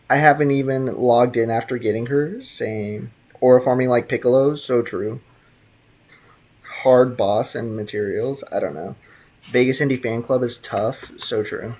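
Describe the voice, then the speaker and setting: A man's voice: mid-range voice, measured pace, a little mellow. A young man streaming a video game, chatting casually with viewers in a laid-back, slangy way and laughing often. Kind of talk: casual chat reading live chat.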